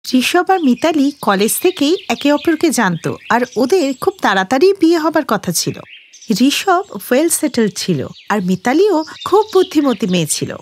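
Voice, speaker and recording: A woman's voice: high voice, very animated, moderate pace, a little hiss or hum. A woman narrating a scripted moral story for children as the storyteller.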